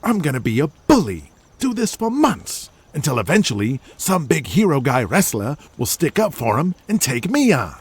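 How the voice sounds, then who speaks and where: High-pitched, brash has-been movie star. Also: male voice, sing-song, moderate pace, hardly any pauses, husky. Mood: scheming, excited. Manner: brash, foul-mouthed, pleading wise guy. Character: washed-up, cocky, excitable little male movie star. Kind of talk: comic audio drama acting.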